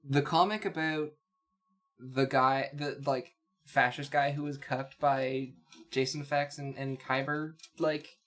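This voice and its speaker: A woman's voice: a bass voice, steady tone, slow. A woman co-hosting a casual comic discussion in a blunt, mock-exasperated way, swearing freely. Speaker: co-host. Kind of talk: casual comic commentary chat.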